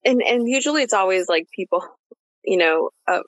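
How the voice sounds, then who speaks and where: A woman's voice: mid-range voice, expressive, brisk, no echo. A woman in her late twenties hosting a casual chat interview, informal and slangy as she talks. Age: late 20s. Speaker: podcast host, therapist.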